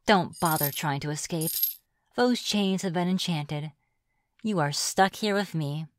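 A woman's voice: on the low side, no room sound, natural voice. A woman playing a reclusive, flirtatious witch in an ASMR fantasy roleplay, with a playful, mocking manner. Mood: smug, menacing. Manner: playful, mocking, drawn-out taunting. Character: reclusive, teasing, flirtatious witch of the deep woods.

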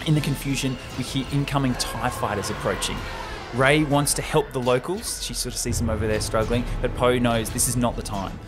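A young male podcast host retells a film script in an excited, casual, slangy way. His voice is mid-pitched and close on the microphone, with music clearly behind it. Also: a little fast. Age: young adult. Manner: enthusiastic, slangy. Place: small room indoors.

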